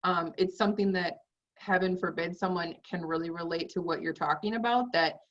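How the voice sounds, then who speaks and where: Lower-voiced woman host, distant and muffled. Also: brisk. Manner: encouraging, rambling questions with many fillers. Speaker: podcast host. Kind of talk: casual interview.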